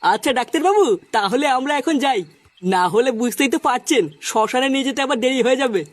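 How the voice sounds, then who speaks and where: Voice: high-pitched, animated, fast talker, no room sound. A performer voicing a bossy, foul-mouthed young male gang leader in a Bengali cartoon comedy, giving orders in crude slang. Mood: brisk, decisive. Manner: commanding, crude slangy talk. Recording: clear background noise and ambience.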